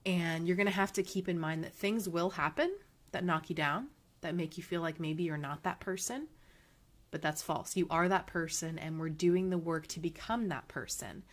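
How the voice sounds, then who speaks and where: A woman's voice: on the low side, moderate pace. Chatty upbeat woman podcast host. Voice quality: nasal. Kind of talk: casual motivational monologue.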